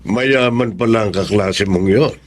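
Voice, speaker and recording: A man playing a wary middle-aged father who gently questions his child in a Filipino radio drama. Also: fairly low pitch, measured pace. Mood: wary, curious.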